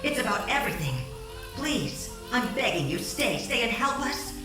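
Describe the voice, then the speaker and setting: A woman's voice: a little high, very animated, moderate pace. An actress playing a desperate young wife who frantically begs her husband not to leave, in an audio drama. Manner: pleading, breathless, frantic. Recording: echoey, distant, with music underneath.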